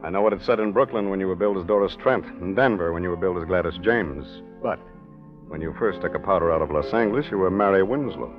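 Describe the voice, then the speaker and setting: An actor playing an unflappable, skeptical American detective with an even, clipped delivery, in 1940s radio noir style. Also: male voice, low voice, fast talker, over music, lightly acted. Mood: cool, firm. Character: hard, unflappable male detective on a fugitive's trail. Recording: dull vintage 1940s radio recording.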